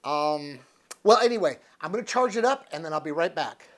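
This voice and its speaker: American guy in his 60s doing a laid-back review, folksy, kinda thinking out loud as he talks. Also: fairly high pitch, lively intonation, fairly quick. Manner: folksy, easygoing, thinking aloud. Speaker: product reviewer. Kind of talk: casual unscripted review chat to camera. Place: living room with couch, indoors.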